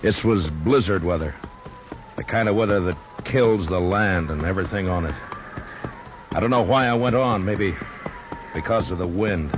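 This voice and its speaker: An actor playing a steady, plain-spoken frontier marshal in a 1950s radio drama, with a measured, sober delivery. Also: male voice, deep voice, lightly acted. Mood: grim, reflective. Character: steady, sympathetic frontier marshal recounting his travels. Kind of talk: 1950s radio drama acting, first-person narration. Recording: dull 1950s radio recording, music behind.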